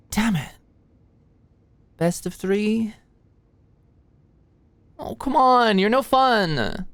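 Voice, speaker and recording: A woman's voice: low-pitched, no room sound, exaggerated voice. A woman playing an anxious, flustered young medical student in an intimate ASMR roleplay, with a hesitant, stammering, playfully defensive way of talking. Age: young adult. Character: anxious, flustered, endearing short female medical student study buddy.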